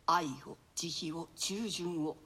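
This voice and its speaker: Man playing a sharp-voiced, high, lamenting old noblewoman. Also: fairly even intonation, slow, breathy edge, full character voice. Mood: solemn. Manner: archaic, wailing, declamatory. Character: grieving elderly royal mother, proud and lamenting. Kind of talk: theatrical classical Japanese play reading.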